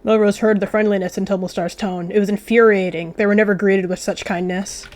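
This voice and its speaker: A woman's voice: mid-range voice, fairly even intonation, a little fast, a little mellow. A woman narrating a video game roleplay in story style, with casual asides to viewers. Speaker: gaming video creator.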